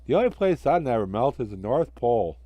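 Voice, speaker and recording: A man's voice: mid-range voice, very animated, brisk, warm tone, no room sound. A man voicing a naive, cheerful talking snowman with an eager, innocent manner in a children's story. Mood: earnest. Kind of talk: amateur children's storybook reading.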